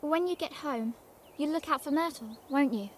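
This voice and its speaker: An actress playing a kind, sincere girl companion in an animated fantasy game. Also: sits high, moderate pace, slight background noise, natural voice. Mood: gentle, thoughtful.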